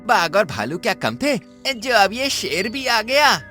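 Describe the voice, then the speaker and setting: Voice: medium pitch, measured pace. A performer voicing a panicky, bumbling male thief in a cartoon, talking in a flustered way. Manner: lively and flustered. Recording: cartoon with loud music behind.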